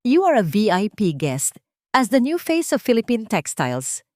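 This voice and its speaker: A calm, devoted gentleman, played by a woman narrator in a romantic audio drama. He speaks gently and steadily, in a very dry recording. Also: medium pitch, normal speed, no echo, pitched above the performer's own voice, lightly acted. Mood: calm earnest. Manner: calm, soft-spoken.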